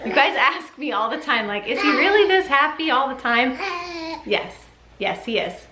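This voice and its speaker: A woman's voice: sits high, sing-song, normal speed, sharp tone, a little hiss or hum. Chatty woman in an echoey room. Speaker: parenting vlogger, mother. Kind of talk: casual chatty vlog. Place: upstairs bedroom at home.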